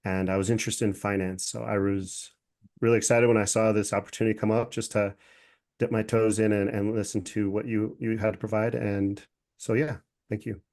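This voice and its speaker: A man's voice: lowish voice, level tone, fairly quick, a little mellow. A man, a finance student and former sailor, introducing himself plainly with a few uhs. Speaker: finance student, Navy veteran.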